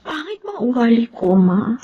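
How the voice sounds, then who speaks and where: A woman's voice: fairly high pitch, very animated, moderate pace, dull audio. A woman playing an insecure young woman in a Filipino radio drama, speaking with a Filipino accent. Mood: hurt, bitter. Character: insecure young woman confiding painfully in her mother.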